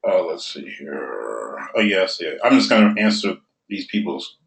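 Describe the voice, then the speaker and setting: A man hosting a live webinar Q&A, casually reading audience questions aloud with pauses between them. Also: sits low, big pitch swings, vocal fry, fairly bright tone. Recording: roomy echoey sound.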